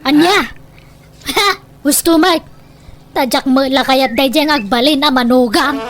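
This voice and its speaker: A woman's voice: high voice, a little slow, fairly bright tone, soft background music. A woman playing a meddling, blunt old grandmother in a comedy radio drama, exclaiming and scolding. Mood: fussy.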